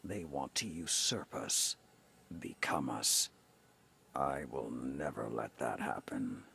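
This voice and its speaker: An actor playing a stern, grizzled old warrior commander in a video game, gravelly, grave and deliberate, with hard authority. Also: male voice, a bass voice, animated, sharp tone, slight background noise, heavily acted. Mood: grim, vengeful. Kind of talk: dramatic video game acting.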